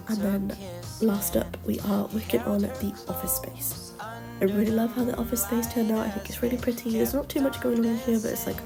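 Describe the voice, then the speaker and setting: A woman's voice: mid-range voice, creaky. A woman with a nasal voice from a cold, rambling chattily with many ums through a casual voice-over over a game build. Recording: music playing behind the voice.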